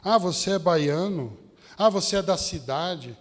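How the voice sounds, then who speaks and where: A male Baptist pastor with a São Paulo Brazilian accent delivers a sermon in a fairly high voice. He speaks straight to his listeners, posing rhetorical questions, and his words carry the long reverb of a hall. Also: animated, a little slow. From São Paulo state, Brazil. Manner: rhetorical questions, addressing the congregation directly. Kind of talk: sermon with personal anecdotes.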